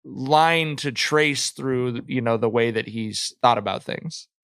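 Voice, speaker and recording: A man co-hosting a podcast, talking casually and reflectively in a halting, thinking-aloud way. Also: mid-range voice, a bit bright, clean tone, dry recording, clean studio sound. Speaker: podcast co-host. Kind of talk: casual reflective book discussion.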